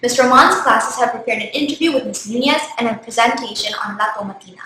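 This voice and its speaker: Bright high woman reading announcements. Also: fast talker, slight background noise. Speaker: morning announcer. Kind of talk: read school announcements. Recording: very echoey room.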